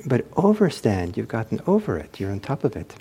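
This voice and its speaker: A man giving an informal meditation talk, reflective and hesitant as he searches for words, with a soft, husky voice. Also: fairly low pitch, huge pitch swings, brisk. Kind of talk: informal spoken teaching with anecdotes.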